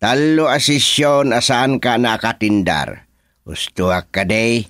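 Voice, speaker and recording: A man's voice: medium pitch, takes it slowly, fairly bright tone, no echo, studio quality. A man playing a stern village chairman, official and interrogating, in a rural radio comedy-drama. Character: stern village chairman questioning an absent councilor.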